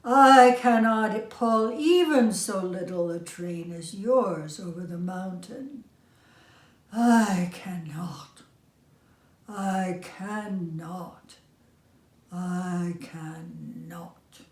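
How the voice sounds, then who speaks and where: Woman as rusty old male engine, storybook character, exhausted, sighing, very slow delivery, echoey room. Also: on the low side, far from the mic. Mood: weary, sighing. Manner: sighing, slow, drawn-out complaint.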